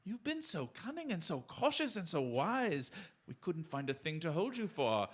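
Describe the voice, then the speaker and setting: A man playing a confident, quick-witted detective in a theatrical radio drama, with a polite, mocking manner. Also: a little high, sing-song, husky. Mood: smugly triumphant.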